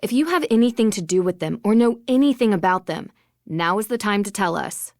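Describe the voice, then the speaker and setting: A woman playing a polite, probing young amateur detective in a video game, speaking earnestly and clearly, in a close dry recording. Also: mid-range voice, lively intonation, brisk, a little mellow, no room sound, close to an everyday voice. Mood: stern. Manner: earnest, articulate questioning. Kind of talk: scripted video game dialogue.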